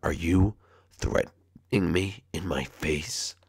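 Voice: deep voice, takes it slowly, a little mellow, husky, pitched below the performer's own voice, full character voice. A man voicing a menacing, boastful hedgehog with a curt, threatening growl in an amateur comedy skit. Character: Shadow, menacing boastful male hedgehog, cool and surly.

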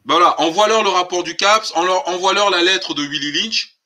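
A man's voice: sits high, normal speed, sharp tone. A man hosting a political live stream, talking colloquially and insistently, with emphatic rhetorical questions. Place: online group call.

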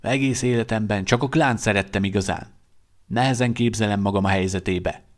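A man's voice: lowish voice, natural voice. A man voicing a male vampire prince who speaks in a grave, reflective way. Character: male vampire prince, devoted to his clan.

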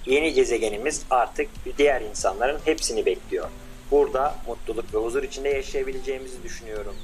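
A man's voice: mid-range voice, fast talker, lightly acted. A man voicing an eager young male scientist who speaks respectfully to his older brother.

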